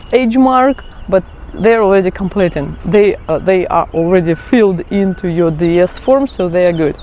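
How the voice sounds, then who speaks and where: A woman's voice: mid-range voice, a little mellow, slight background noise. A woman from Kazakhstan, chatting casually as a vlogger, often laughing and correcting herself. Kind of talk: casual explainer chat.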